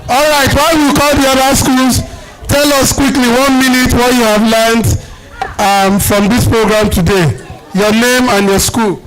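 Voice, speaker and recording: A man with a Nigerian accent hosting a live event for schoolchildren, speaking in a brisk, directive way and repeating his commands. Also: very high voice, steady tone, low-quality recording. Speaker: event host, master of ceremonies. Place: outdoor cricket ground. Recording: outdoor event with loud crowd chatter. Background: crowd noise.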